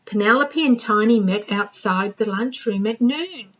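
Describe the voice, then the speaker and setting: A woman librarian reading a children's storybook aloud with expressive narration, on a slightly dull recording. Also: fairly high pitch, measured pace.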